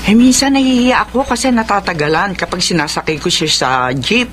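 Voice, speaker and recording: A fretful, demanding older mother , played by a woman, complains in a Filipino radio drama. Her delivery is lively and fussy, over faint background noise. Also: medium pitch, a little fast, close to an everyday voice. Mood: fretful, hinting. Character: fretful, demanding elderly mother of grown children.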